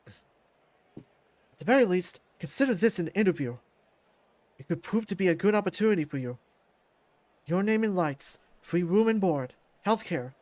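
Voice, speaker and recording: Guy doing a confident old fighter recruiting someone in a visual novel, measured and calm, really dry recording. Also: high-pitched, dry recording. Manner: measured, self-assured.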